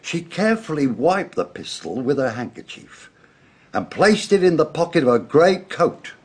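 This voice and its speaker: Radio drama: dutiful British chief inspector, official diction, husky, lively intonation. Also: male voice, on the high side. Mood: formal, reporting. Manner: official, measured report-giving. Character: formal, dutiful male police chief inspector.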